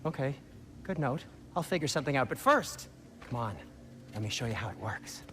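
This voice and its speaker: An actor playing a fussy, excitable dwarf craftsman in a video game, wordy, fastidious and precise. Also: medium pitch, background noise, natural voice. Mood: busy, eager. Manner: fastidious, animated, precise diction. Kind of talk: animated video game acting.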